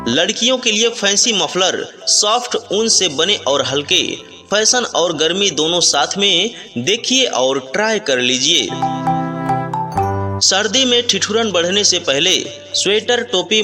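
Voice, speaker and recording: Very bright, slow-paced male street announcer. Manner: repetitive plain sales pitch, urging buyers. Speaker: street loudspeaker announcer for a winter clothing seller. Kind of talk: scripted market sales announcement. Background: music.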